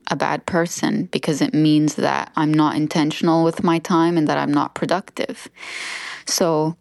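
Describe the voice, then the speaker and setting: Low-voiced earnest woman, dry sound. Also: no room sound. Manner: earnest, heartfelt, measured. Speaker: podcast guest, author. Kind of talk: reflective conversation.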